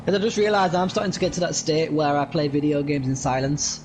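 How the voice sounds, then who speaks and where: A British man co-streaming a game, talking in a relaxed way with stammering restarts. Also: on the high side, fast talker, background noise. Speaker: co-streamer guest. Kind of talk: casual gaming stream chat.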